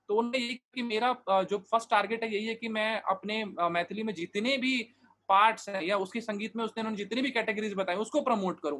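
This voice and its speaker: High-voiced earnest man explaining at length. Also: a bit bright. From Bihar, India. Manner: earnest, explains at length with many restarts and fillers; mixes English words and phrases into his speech. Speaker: interview guest, digital marketer. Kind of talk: casual interview talk.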